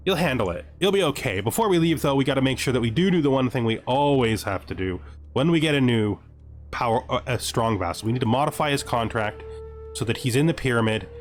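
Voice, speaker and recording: A man doing casual gaming commentary as a let's play host, thinking aloud to himself as he goes. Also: medium pitch.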